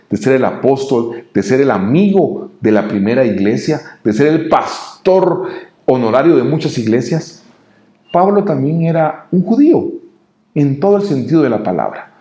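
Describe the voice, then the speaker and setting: A man with a Guatemalan Spanish accent giving a devotional sermon to listeners in a halting way, often repeating his words. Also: medium pitch, dark tone. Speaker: preacher. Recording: roomy, echoing room sound.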